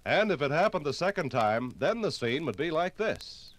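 A man narrating a scripted 1950s radio documentary in a measured, dramatic storytelling manner. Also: fairly high pitch, big pitch swings, a bit bright, no room sound.

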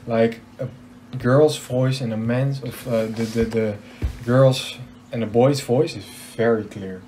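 A man's voice: mid-range voice, fairly even intonation, slow, dark tone, faint music behind, rough recording. An eighteen-year-old man, a native Dutch speaker with a Dutch accent, chatting casually and a bit awkwardly in hesitant English. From Netherlands. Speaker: host of a gaming channel. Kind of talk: casual chat over gameplay.

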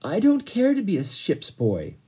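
Man voicing a plucky, high-pitched young boy. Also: huge pitch swings, measured pace, dark tone, slightly rough, full character voice. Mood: nervous, determined. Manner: eager, stubborn, respectful. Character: earnest, plucky twelve-year-old boy stowaway.

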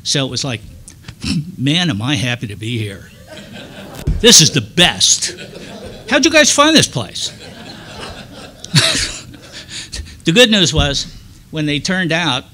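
An older man telling stories to an audience from a lectern on a hall stage, relaxed and dry-humored, into a stand mic. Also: mid-range voice, measured pace, pauses often. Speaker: presenter. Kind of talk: storytelling talk to an audience.

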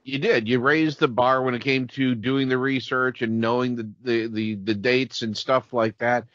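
A man's voice: mid-range voice, fairly even intonation, moderate pace, fairly bright tone, no echo. A man co-hosting a podcast in a casual, joking style. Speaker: podcast co-host. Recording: dull lo-fi sound.

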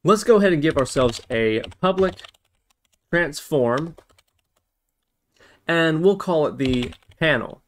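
A man's voice: a little high, lively intonation, slow, long pauses, a little hiss or hum. A man narrating a programming tutorial, explaining step by step and thinking aloud as he goes. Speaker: tutorial host.

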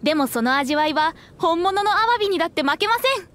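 An actress playing a courteous young waitress explaining things politely in an anime game. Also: female voice, extremely high pitch, animated, quick pace, fairly bright tone, a little hiss or hum. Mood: polite, proud.

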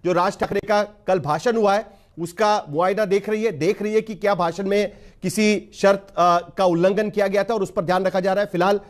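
A man's voice: sits high, steady tone, normal speed. A man with an Indian accent anchoring a broadcast news interview in a formal, wordy way, repeating phrases for emphasis.

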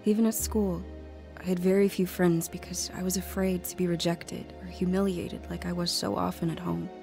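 A grown woman looking back on a lonely childhood. Her voice is on the low side, reflective and measured, in scripted audio drama narration. Music plays faintly behind her. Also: little pitch movement, gravelly, warm tone. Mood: wistful, reflective. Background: music under the voice.

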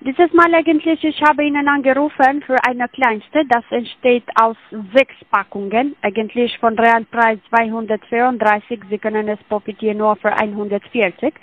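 A woman's voice: a little high, fairly even intonation, normal speed, few pauses, dry recording. A woman making a scam sales call in broken grammar, with a hesitant manner. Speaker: scam caller. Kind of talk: phone sales pitch. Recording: thin phone line, dry.

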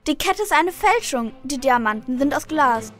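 Young girl as helpful shop lady in an audio play, really high voice. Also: expressive, moderate pace, fairly bright tone, soft background music. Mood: alarmed. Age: child about 10 to 13.

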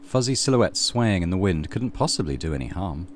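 A man's voice: low voice, very animated, a little fast, a little hiss or hum. A man hosting a let's play, reading story narration aloud with casual commentary.

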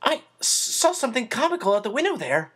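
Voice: medium pitch, sing-song, fast talker, fairly bright tone, husky, pitched above the performer's own voice. A reader doing a flustered young boy. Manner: nervous, flustered.

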